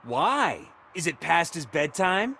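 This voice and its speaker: A cocky young street player in a video game. The voice is high, bright and very animated, with a mocking edge. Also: male voice, takes it slowly, gravelly, slight background noise, full character voice.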